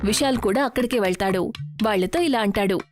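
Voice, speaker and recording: A woman's voice: mid-range voice, a little fast, a little mellow, faint music behind. A woman narrator reading a scripted moral story for children.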